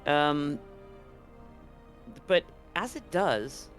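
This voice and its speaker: A man's voice: a little high, very animated, drawn-out words, a bit bright. A man working as game master, narrating a tabletop roleplaying game in a conversational, explanatory way. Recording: music playing under the voice. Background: background music.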